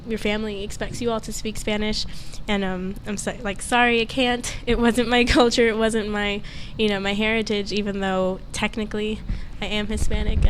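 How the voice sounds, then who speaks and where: A woman's voice: mid-range voice, rough recording. A young woman on a student panel, talking openly and laughing often as she speaks. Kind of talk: casual panel discussion. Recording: faint background noise.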